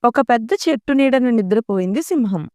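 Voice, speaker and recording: A woman's voice: fairly high pitch, fairly quick, mellow, no room sound, studio quality. A woman storyteller reading scripted narration for a children's story. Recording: music behind the voice.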